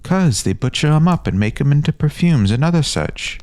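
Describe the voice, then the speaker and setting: A man's voice: a little high, lively intonation, normal speed, a little mellow, dry recording, pitched above the performer's own voice, natural voice. A man voicing a bossy know-it-all street boy in a fantasy audio story, cocksure and scolding, with rustic grammar. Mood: cocksure, explaining.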